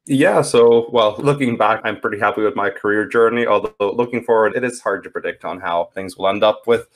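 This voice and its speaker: A man's voice: fairly low pitch, brisk. A man from Hungary with a Hungarian accent, casually telling his story as a podcast guest. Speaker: podcast guest, software engineer.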